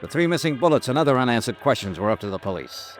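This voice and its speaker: A man playing a tough, world-weary insurance investigator in a 1950s radio drama, clipped and deadpan. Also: medium pitch, quick pace, very noisy, close to an everyday voice. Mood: wry, measured. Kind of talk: 1950s radio detective drama acting and narration.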